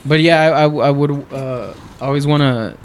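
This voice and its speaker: A man's voice: a little high, moderate pace, a little hiss or hum. A man who rambles through a casual podcast chat as a guest, swearing, with many fillers. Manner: rambling, profane, lots of fillers.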